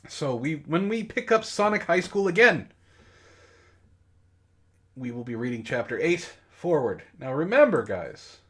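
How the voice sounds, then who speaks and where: A man hosting a casual comedic book club podcast, bantering in a mock-exasperated way. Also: on the high side.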